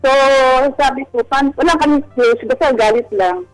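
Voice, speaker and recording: A woman's voice: high-pitched, normal speed, no echo, rough recording. Woman calling into radio show, telling her story in bits n pieces, stop-start, faint music behind. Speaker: caller to a radio advice show. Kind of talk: casual personal storytelling.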